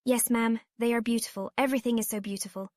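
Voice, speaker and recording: Woman playing an earnest, high-voiced young witch. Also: fairly even intonation, quick pace, a little mellow, no room sound, clean studio sound, close to an everyday voice. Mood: curious, admiring. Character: curious, polite, earnest young witch girl. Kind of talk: comic dub voice acting.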